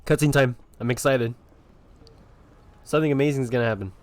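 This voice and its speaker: A man's voice: mid-range voice, steady tone, a little fast, a little mellow, no room sound. A man streaming a video game with casual, chatty commentary.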